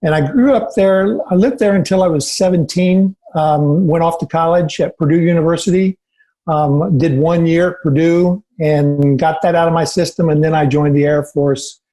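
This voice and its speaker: A man in his sixties from Indiana, a podcast guest calmly recounting his career in an unhurried, self-assured way. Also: fairly high pitch, low-quality recording. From Indiana, USA. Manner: steady, self-assured anecdotes with filler ums. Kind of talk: relaxed storytelling interview.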